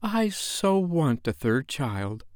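A man voicing a gentle, lilting mother. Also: on the high side, big pitch swings, slow, no room sound, clean studio sound, pitched above the performer's own voice. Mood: tender. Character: Missus Darling, gentle, placid, wistful wife and mother.

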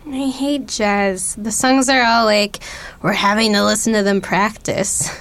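An actress playing a sullen, hurt but caring young niece in a radio play, blunt and reluctant. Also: female voice, mid-range voice, fairly even intonation, measured pace, a bit bright. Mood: sullen, doubtful.

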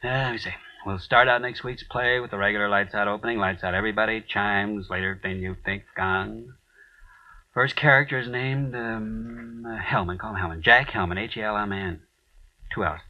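An actor playing a troubled radio playwright who works late at night, agitated and halting as he mutters to himself in old-time radio drama style. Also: on the low side, no echo, natural voice. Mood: restless, thinking aloud. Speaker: actor in a radio horror play. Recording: dull old radio recording, off mic.

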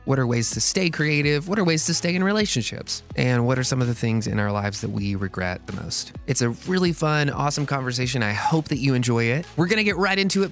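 Upbeat young male podcast host, chatty, medium pitch, light music under the voice. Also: a bit bright. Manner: upbeat and chatty, quick laughs. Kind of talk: casual podcast chat.

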